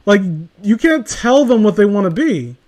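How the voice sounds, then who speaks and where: A man with a high-pitched voice chats casually and rants as he goes. He rambles through his opinions, exasperated and sweary. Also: very animated, normal speed, a little mellow. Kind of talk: casual ranting chat.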